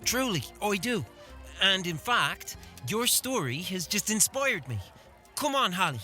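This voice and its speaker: A man's voice: high-pitched, big pitch swings, measured pace, pushed and bright, soft background music, full character voice. An actor playing an eager, trusting young village lad in an audio drama, curious and earnest in his manner. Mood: inspired.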